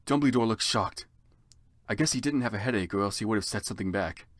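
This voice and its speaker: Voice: medium pitch, fast, dry recording, clean studio sound. A narrator giving a theatrical, mock-serious dramatic reading of a story, with comic asides. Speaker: narrator of a fan fiction reading.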